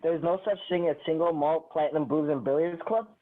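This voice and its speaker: A man's voice: a little high, fairly quick, natural voice. Man reading an aloof sarcastic guest, phone-like sound. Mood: self-absorbed. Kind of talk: amateur table read. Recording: thin phone-like call audio.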